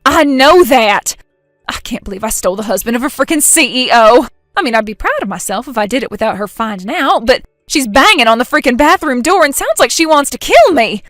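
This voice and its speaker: A loud, whiny young woman in a storybook text drama, put on by a woman narrator, frantic and selfish, her voice pitched up and bursting out shrill. It sounds dry and close, with faint music underneath. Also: expressive, normal speed, no room sound. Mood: panicked. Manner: shrill, panicky outbursts.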